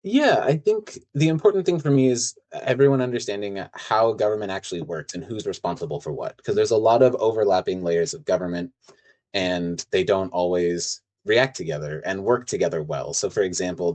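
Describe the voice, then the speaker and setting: A man's voice: lowish voice, a little mellow. A man with an American accent speaking as a co-host and newsletter writer, explaining things in a plain-spoken, conversational way. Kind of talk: explanatory interview talk.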